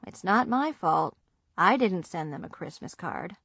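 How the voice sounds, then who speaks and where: A woman taking the part of a stern middle-aged father. He speaks slowly and quietly, each word measured. The read is dry and close. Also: fairly low pitch, animated, creaky, slightly rough, no echo, full character voice. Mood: quiet, bitter.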